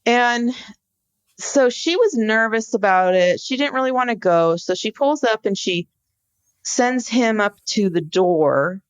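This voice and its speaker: A woman co-hosting a podcast, explaining a case conversationally in an informal, halting storytelling way. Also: fairly high pitch, expressive, smooth, dry recording. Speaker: podcast co-host. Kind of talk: conversational true-crime case discussion.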